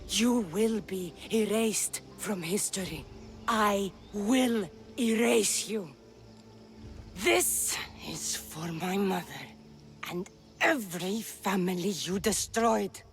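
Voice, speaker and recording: An actress voicing a fierce, defiant Greek mercenary heroine in a video game, speaking firmly and intensely. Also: female voice, mid-range voice, slow, faint music behind. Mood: vengeful. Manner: firm, intense, determined. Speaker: game protagonist. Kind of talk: video game cutscene acting.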